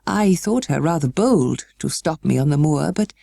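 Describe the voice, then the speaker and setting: A woman voicing a weary, melancholy gentleman guardian for a storybook, kindly but stiff, with slow, halting, well-spoken delivery. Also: sits low, expressive, vocal fry, no echo, studio quality, full character voice.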